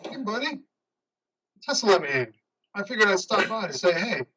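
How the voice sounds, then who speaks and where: A man's voice: high-pitched, big pitch swings, moderate pace, bright, forceful tone, breathy edge, far from the mic, full character voice. A man playing a loyal, awkwardly sympathetic buddy in an amateur play reading, casual and hesitant. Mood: awkward, supportive. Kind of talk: amateur reader's theater over video.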